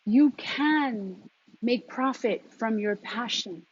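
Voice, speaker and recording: A woman speaking as a spiritual coach in a motivational talk, deliberately, in short phrases with emphatic repetition and a husky voice. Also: fairly high pitch, expressive. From New York, of Colombian background. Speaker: transformative coach and intuitive guide. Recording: dull, lo-fi sound.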